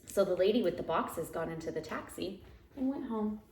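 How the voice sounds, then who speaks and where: A woman reading a children's picture book aloud at home in an expressive, dramatic way, drawing out key phrases. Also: medium pitch, moderate pace. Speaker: teacher reading aloud to young pupils. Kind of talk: animated children's storybook read-aloud. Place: living room at home. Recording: roomy, echoey home recording.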